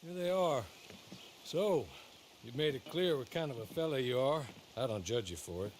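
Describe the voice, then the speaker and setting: An actor playing a businesslike American frontier go-between in a western video game, folksy and measured. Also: male voice, medium pitch, animated, darkish tone, slight background noise, lightly acted. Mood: measured, persuasive. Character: businesslike frontier male go-between for a crime boss. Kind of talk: video game cutscene acting.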